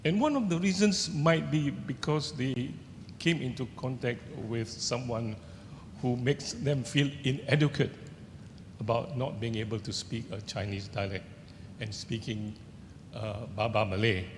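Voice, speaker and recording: An older man with a Singaporean accent, an author telling personal anecdotes to an audience in a halting way. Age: older, seventies or above. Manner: halting, searching for words. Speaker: author on a festival panel. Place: large indoor event hall with seated audience. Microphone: handheld microphone. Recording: echoey hall, rumbling background noise.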